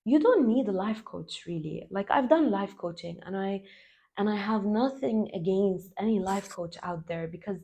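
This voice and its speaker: A woman in her thirties from Lebanon, raised in the UAE, talking to camera as a self-love coach in an earnest, slow and emphatic way. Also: mid-range voice, creaky, mellow. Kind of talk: spiritual vlog talk to camera.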